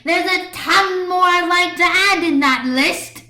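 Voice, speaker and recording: A fiery, stubborn schoolgirl, acted out by a woman reader argues with forceful indignation. Her voice is very high and sharp. It is a fan fiction story reading in an echoey room. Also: slow, exaggerated voice. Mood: furious ranting. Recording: echoey room on computer microphone.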